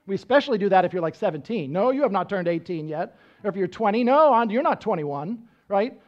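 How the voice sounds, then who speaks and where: A man in his thirties preaches from a church stage, pacing and gesturing as he teaches in a relaxed, conversational way. His voice sits fairly high for a man. The sound is a bit dull and muffled. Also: brisk. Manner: conversational teaching, frequent "right?" check-ins; walks around the stage gesturing. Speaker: pastor. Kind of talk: sermon. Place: church stage with band instruments.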